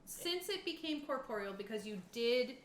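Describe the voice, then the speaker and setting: A woman's voice: on the high side, animated, normal speed. A woman running a tabletop game as game master, narrating casually and explaining as she goes. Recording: roomy echo with clear background noise.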